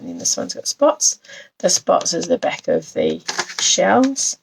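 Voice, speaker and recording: A New woman with a low, heavily gravelly, croaky voice, hoarse from a cold. She talks through a card-making demo in a chatty way, with a lively up-and-down melody, and greets viewers by name as they join. A faint hiss sits under her voice. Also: low-quality recording. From New Zealand. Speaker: papercraft demonstrator. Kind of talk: live-streamed craft demonstration.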